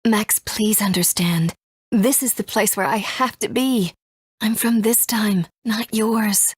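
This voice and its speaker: A woman voicing a gentle, earnest mother and resistance fighter in a video game, soft and breathy, speaking in a measured, tender way. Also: medium pitch, dry recording, studio quality. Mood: pleading, sad. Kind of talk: English video game voice acting.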